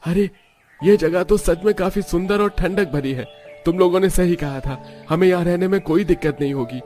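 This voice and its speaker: A man's voice: sits high, moderate pace, darkish tone, soft background music, natural voice. A man playing a plain-spoken, agreeable village husband in a cartoon story. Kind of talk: Hindi cartoon story dialogue.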